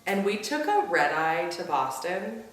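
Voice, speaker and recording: A young woman with a hoarse, weak voice from laryngitis, chatting casually as a vlog co-host. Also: fairly low pitch, very animated, a little slow, heavy reverb, recorded from a distance. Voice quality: weak, whispery voice. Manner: chatty, laughs often. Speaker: podcast co-host.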